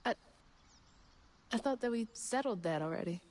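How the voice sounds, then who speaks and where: A woman's voice: on the high side, expressive, moderate pace, close to an everyday voice. A woman voicing a bold, impatient young adventurer in a video game, casual and exclamatory. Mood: exasperated. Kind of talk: video game character acting. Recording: loud music behind dialogue. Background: music.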